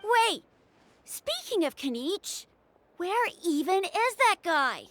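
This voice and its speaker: Very high-voiced, eager young child. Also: female voice, sing-song, slow pace, a bit bright, exaggerated voice. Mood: anxious. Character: excitable, proud young child, eager to impress. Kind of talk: video game character acting.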